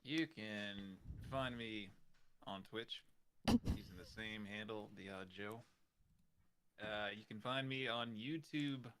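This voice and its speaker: A man's voice: mid-range voice, fairly bright tone, smooth. A man chatting casually as a guest on a show, goofy and playful in his joking. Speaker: voice actor and streamer, guest.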